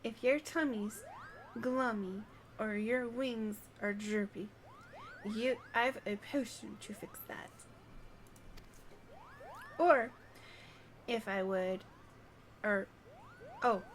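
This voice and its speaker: A woman's voice: fairly high pitch, slow pace, pauses often. A woman voicing a helpful, scatterbrained little moogle alchemist in a video game, with eager, stumbling, flowery speech. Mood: proud, chatty.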